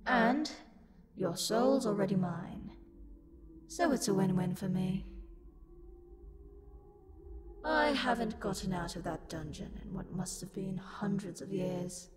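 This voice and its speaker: A woman's voice: mid-range voice, unhurried, loud music behind the voice, natural voice. A woman voicing a livid, haughty tsundere demon in a scripted roleplay audio, condescending and scornful, with theatrical sighs. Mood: exasperated.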